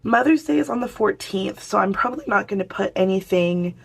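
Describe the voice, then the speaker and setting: Hoarse woman chatting while crafting. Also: mid-range voice, lively intonation, brisk. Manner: chatty, talks to viewers while working. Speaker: planner vlogger. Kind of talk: casual crafting chat.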